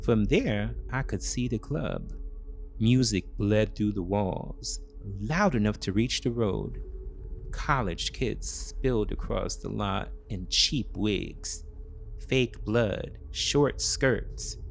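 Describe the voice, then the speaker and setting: A man narrating a scripted first-person thriller in short, measured, dramatic phrases. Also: fairly low pitch, lively intonation, creaky, faint music behind.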